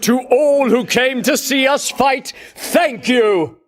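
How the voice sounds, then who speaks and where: An actor playing a pompous, vainglorious champion warrior in a video game, declaiming grandly and scornfully in archaic heroic language. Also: male voice, very high voice, takes it slowly. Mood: exultant, proud. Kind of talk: theatrical video game battle lines.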